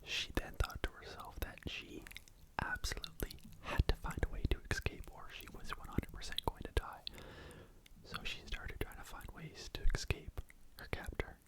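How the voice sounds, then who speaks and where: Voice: moderate pace, poor audio. An ASMR host whispering a true crime story to the microphone in a casual, rambling way, often saying "like" and "you know.". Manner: soft unvoiced whisper throughout.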